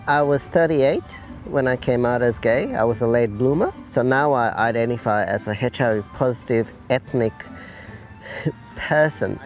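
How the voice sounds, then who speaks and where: Middle-aged man from sri lanka, gravelly, measured and deliberate, documentary interview, soft music behind. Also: mid-range voice.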